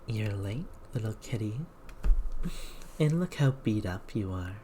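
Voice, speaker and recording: A woman's voice: rumbling low, lively intonation, a little slow, dark tone, slight background noise, voice pushed down. A woman voicing a confident, teasing female magic-user in a fantasy game, coy and mocking. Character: teasing, confident female wand-wielding rival.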